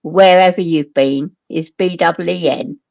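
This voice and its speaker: A woman chats casually on a live panel in a very gravelly, creaking voice. It sits a little low, and the stream audio is dry and somewhat dull. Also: measured pace, a little mellow, no echo.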